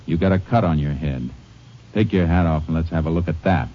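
An actor playing a stern Texas Ranger who talks in a clipped, commanding way, in 1940s radio acting style. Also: male voice, deep voice, fairly quick. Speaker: narrator and lead. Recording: dull old radio recording.

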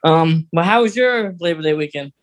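Medium-pitched voice, podcast co-host, casual chat, hesitant, rambling delivery. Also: animated, moderate pace.

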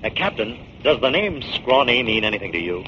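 A decisive detective hero in a forties radio mystery. His mid-pitched voice swings expressively and sounds brisk and commanding. It is a noisy old recording. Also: very animated, sharp tone, dull audio. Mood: businesslike. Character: decisive, urgent male crime investigator hero. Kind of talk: 1940s radio crime drama acting.

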